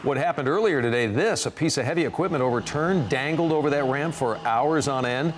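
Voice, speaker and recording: An American man works as a television news anchor. His voice sits at a middle pitch as he delivers scripted stories in newscast style. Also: fairly quick, background noise.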